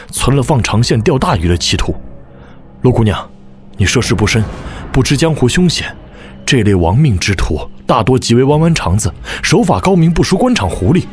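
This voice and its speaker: A man plays a young nobleman from a wuxia radio play, with lively swooping intonation at a middling pitch. He is formal, calculating and smoothly ingratiating. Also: close to an everyday voice. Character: scheming young aristocrat, suspicious, smoothly ingratiating schemer. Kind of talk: Chinese wuxia audio drama acting.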